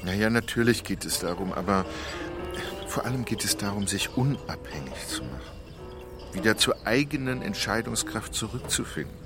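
An actor playing a coaxing, reassuring couples therapist in an audio drama, with a persuasive, soothing way of talking. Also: male voice, on the low side, lively intonation, normal speed, over music, lightly acted. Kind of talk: scripted audio drama dialogue.

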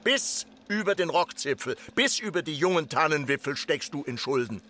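Officious radio-play mayor, higher-pitched, forceful bright tone, insistent and admonishing. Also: male voice, expressive, normal speed, a little hiss or hum. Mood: stern admonishing. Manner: admonishing, formal, repetitive. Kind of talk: literary radio drama acting.

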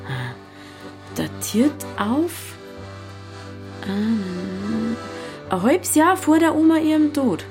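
An actress playing a feisty, curious young village innkeeper with a Bavarian accent, blunt and natural in an audio drama. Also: female voice, fairly high pitch, big pitch swings, drawn-out words, pauses often, over music, heavily acted. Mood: curious, puzzled.

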